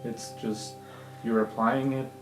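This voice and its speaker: A game master narrating an improvised tabletop fantasy game in a casual, expressive storytelling way. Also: mid-range voice, slow. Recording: roomy echo, guitar music behind. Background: guitar music.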